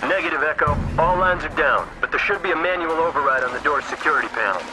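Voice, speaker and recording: An actor playing a stern military commander in a video game, giving clipped, authoritative orders over the radio. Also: male voice, fairly high pitch, fast talker, loud music behind the voice, lightly acted. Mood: businesslike. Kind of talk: dramatic video game voice acting.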